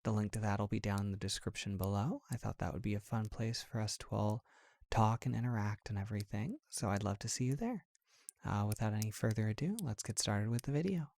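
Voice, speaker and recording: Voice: medium pitch, brisk, a little mellow. An ASMR audio creator giving a casual, friendly announcement straight to listeners.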